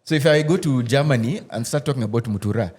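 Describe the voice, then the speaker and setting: Man, casual podcast guest, animated storyteller, frequent restarts. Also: mid-range voice, fast talker, husky. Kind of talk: casual roundtable chat. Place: podcast studio.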